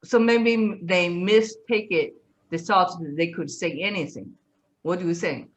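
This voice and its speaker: A woman's voice: on the low side, unhurried, lo-fi sound. A woman from China, chatting reflectively as an interviewer. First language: Mandarin Chinese. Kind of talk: casual interview chat, switching between languages.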